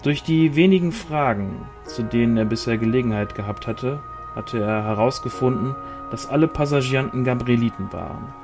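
A man reading a novel aloud as scripted narration. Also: mid-range voice. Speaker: audiobook reader.

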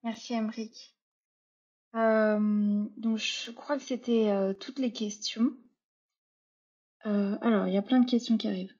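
A woman's voice: fairly high pitch, steady tone, a little slow, pauses often, warm tone. A woman moderating an online meetup informally, reading out audience questions over a muffled video call. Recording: dull video-call audio.

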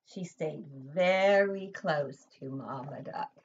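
A woman's voice: deep voice, slow pace, slightly rough, dull audio. An older woman, a preschool teacher, reading a picture book expressively to young children and asking simple questions, recorded indoors at home. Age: older, 60s or above.

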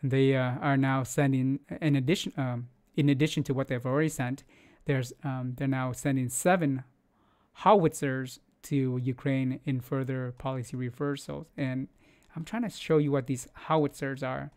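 A man's voice: medium pitch, steady tone, normal speed, a little mellow. Chatty man hosting market news. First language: not English. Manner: loose, chatty, many fillers and restarts. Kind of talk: casual screen-share news commentary.

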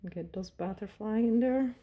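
Calm woman teaching a nail tutorial. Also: mid-range voice, moderate pace, highs cut off. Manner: calm, instructive, with frequent fillers and non-native grammar. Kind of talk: step-by-step tutorial narration while working. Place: nail salon desk.

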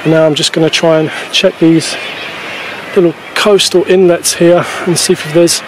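A man in his forties talking casually to camera as a wildlife photography vlogger, explaining things in a halting way on an outdoor camera microphone. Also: fairly high pitch, little pitch movement, background noise. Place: outdoors on a grassy coastal clifftop. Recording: poor camera-mic sound outdoors, music behind.

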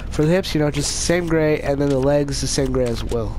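A man's voice: a little high, brisk, a little mellow, a little hiss or hum. A man with a cold and a slight cough, casually narrating a video game character build in a rambling way, full of fillers and restarts. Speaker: YouTube gaming channel host. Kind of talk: casual gameplay commentary.

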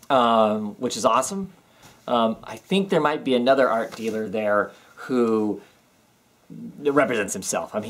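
Rambling man vlogging from home. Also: mid-range voice. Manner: rambling, many ums and restarts. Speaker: comics vlogger. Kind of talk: casual rambling vlog. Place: small home room with filing cabinets, indoors.

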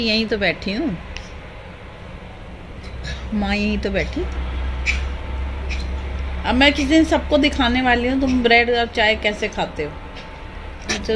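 Doting woman baby-talking to her parrot. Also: a little high. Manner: doting, sing-song coaxing. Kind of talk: baby talk to pets. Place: living room at home, indoors. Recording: home phone video with background noise.

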